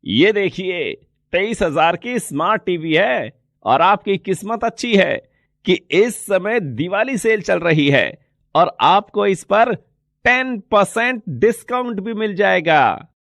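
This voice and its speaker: A man's voice: high-pitched, lively intonation, takes it slowly, gravelly, a bit bright, no room sound, clean studio sound, heavily acted. A man voicing a polite, eager shop salesman in a moral story, explaining things courteously. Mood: polite, persuasive. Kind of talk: Hindi moral story dialogue.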